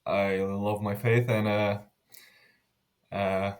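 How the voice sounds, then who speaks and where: A man in his twenties from Lithuania, answering interview questions earnestly, often restarting his sentences and trailing off softly. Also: lowish voice, little pitch movement, extremely slow. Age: 20s. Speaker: interview guest.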